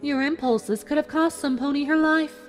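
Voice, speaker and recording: A woman voicing a cruel female villain pony in a dramatic fan fiction reading, deliberate and mockingly sympathetic. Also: high voice, lightly acted. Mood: coolly mocking. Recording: music behind the voice.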